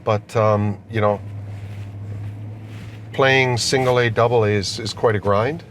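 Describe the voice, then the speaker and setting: Low-voiced middle-aged man in a noisy car. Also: fairly even intonation, measured pace, fairly bright tone. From born in Austria, immigrant to Canada. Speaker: company chief executive being interviewed. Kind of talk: casual conversational interview. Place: inside a car being driven. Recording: noisy moving vehicle interior.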